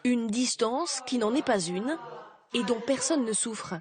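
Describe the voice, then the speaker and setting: A woman reading scripted voice-over narration for a television documentary report, in a measured, even delivery. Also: a little high, a crowd in the background.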